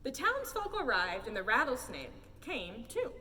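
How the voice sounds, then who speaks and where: High, lively woman reading a storybook. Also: measured pace. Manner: theatrical, expressive. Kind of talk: animated children's picture book read-aloud. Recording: roomy hall, distant mic, faint noise.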